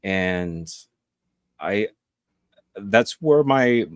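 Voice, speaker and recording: A man in his forties talks earnestly as a guest, pausing on fillers and restarting his sentences. His voice sits low, and the sound is close and dry. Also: lively intonation, smooth, no room sound. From Puerto Rico. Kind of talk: casual interview chat.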